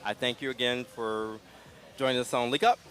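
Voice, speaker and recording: Host, male, calm and gentle delivery, mid-pitched, recorded outdoors at a street festival. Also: moderate pace, poor audio. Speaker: interview host. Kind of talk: casual live interview. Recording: outdoor live stream.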